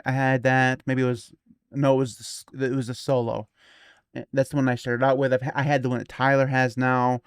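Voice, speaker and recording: Rambling man, forty, smooth dry voice. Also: mid-range voice, dry recording. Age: 40s. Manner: rambling, stumbling restarts, laughs easily. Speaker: podcast co-host. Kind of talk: casual tech chat.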